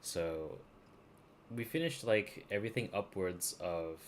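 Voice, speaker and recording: Low-voiced man chatting on a game stream. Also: takes it slowly, slight background noise. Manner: slangy, informal. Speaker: live streamer. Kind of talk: casual gaming stream chat.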